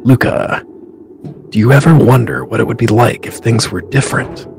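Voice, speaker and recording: A man's voice: mid-range voice, normal speed, vocal fry, darkish tone, faint music behind, natural voice. A man playing a wistful, pensive infiltrator on a spy mission in an audio drama.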